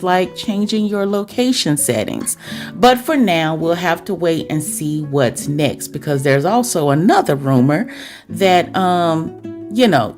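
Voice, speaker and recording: A woman with a fairly low voice hosts a podcast monologue over clearly audible music. She addresses listeners like close girlfriends, chatty and confiding, tossing out questions as she goes. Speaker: podcast host.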